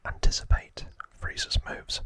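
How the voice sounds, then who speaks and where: A man whispering an ASMR trivia recap in a breathy voice, informal and a little hesitant, as if recalling it from memory. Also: a little slow, a bit bright, no echo. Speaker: ASMR host.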